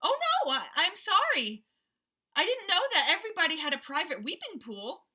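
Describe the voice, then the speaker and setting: A polite, quick-thinking young man, read by a woman, talks his way out of trouble in a storybook. His voice comes out high-pitched and bright. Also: animated. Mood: flustered.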